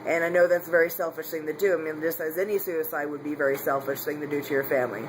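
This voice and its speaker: Guy rambling into the camera, kinda high for a dude, keeps restarting his sentences, faint hum behind. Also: flat delivery, racing. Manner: rambling, frequent restarts and self-corrections; candid, confessional. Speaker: vlogger. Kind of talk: casual personal vlog.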